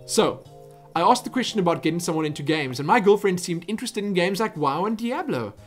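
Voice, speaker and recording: A young South African man hosts a casual Q&A, talking in loose, rambling runs that trail off and restart. His voice sits fairly high for a man. Faint music plays underneath. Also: expressive, a little fast. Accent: South African English. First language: Afrikaans. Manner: chatty, rambling, self-interrupting. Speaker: YouTube Q&A host. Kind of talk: casual viewer Q&A.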